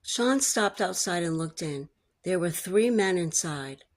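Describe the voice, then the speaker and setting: A woman's voice: fairly low pitch, animated, unhurried. A woman reading a story aloud as a storyteller, in narration style.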